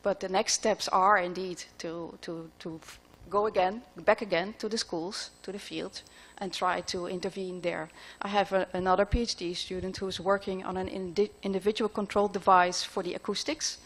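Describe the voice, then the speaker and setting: A middle-aged woman answering audience questions after a conference talk, pausing often with fillers as she thinks, speaking into a podium microphone in a large lecture hall. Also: mid-range voice, fairly even intonation. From Netherlands. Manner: thoughtful, halting with frequent fillers.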